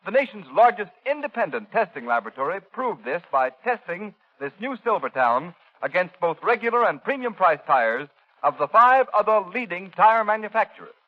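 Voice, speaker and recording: A male announcer reads an old-time radio advertisement. His voice is high for a man, with lively swings in pitch and an emphatic, persuasive push. The sound is dull, like an aged broadcast. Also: moderate pace. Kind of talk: scripted 1930s radio sales pitch. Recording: dull, band-limited 1930s radio recording.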